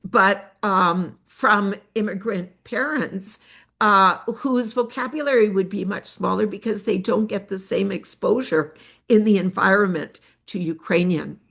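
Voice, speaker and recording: Slow, gravelly older woman researcher. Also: medium pitch, fairly bright tone. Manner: measured, with frequent filler pauses. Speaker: education researcher presenting study results. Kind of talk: academic presentation.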